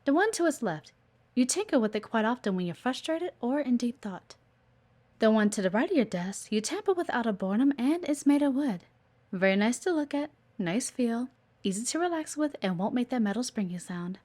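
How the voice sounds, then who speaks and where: Woman playing a coy, lilting, high-voiced office assistant. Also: big pitch swings, brisk, darkish tone. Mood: teasing, faintly menacing. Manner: coy, teasing, chatty, with murmured asides. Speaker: voice actor in an audio roleplay. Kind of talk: intimate scripted audio roleplay, one-sided conversation with the listener.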